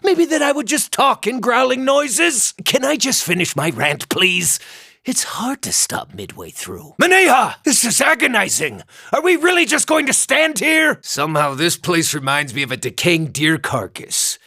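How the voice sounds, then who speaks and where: Irreverent, wildly expressive furry beast-man. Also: very high-pitched, moderate pace, creaky, dry recording, clean studio sound, exaggerated voice. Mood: excited, indignant, then dry, joking. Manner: wry, theatrical, swinging from excited to muttering. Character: irreverent, lusty Orlan druid, small furry beast-man. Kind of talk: video game character dialogue.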